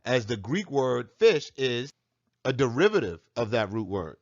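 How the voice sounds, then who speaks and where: A man's voice: mid-range voice, big pitch swings, measured pace, no echo. A man teaching on scripture in a monologue, arguing his point with rhetorical questions. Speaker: religious teacher. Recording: dull lo-fi dry recording.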